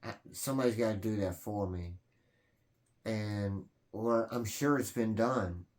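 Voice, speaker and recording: A man's voice: lowish voice, a little slow, a little mellow. Man, late forties, channel host, casual vlog talk, earnest, rambling, lots of fillers. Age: 40s.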